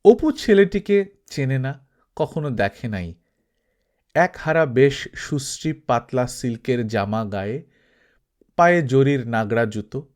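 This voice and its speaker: A man reading literary fiction as an audiobook narrator, in a measured, formal and expressive way. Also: medium pitch. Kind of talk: scripted literary narration with verse recitation.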